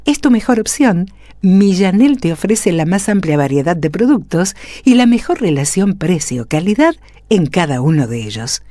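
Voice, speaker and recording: Casting: Argentine woman ad announcer, low mellow voice, lively melodic read, faint music bed. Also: normal speed, no room sound. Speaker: commercial announcer. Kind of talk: scripted radio ad read.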